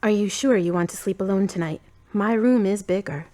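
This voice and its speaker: A woman's voice: mid-range voice, a little fast, dark tone, natural voice. A woman playing a kind, skeptical, teasing friend in an amateur audio drama, candid and wry.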